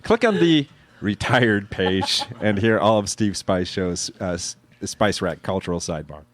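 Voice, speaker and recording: A man's voice: fairly low pitch, animated, unhurried. An American man announcing on live radio, giving informal plugs and reminders to listeners.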